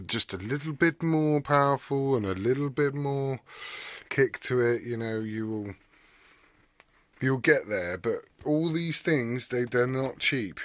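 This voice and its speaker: A British man chatting casually and unscripted as a hobbyist vlogger, rambling and blunt in his way of talking. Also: medium pitch.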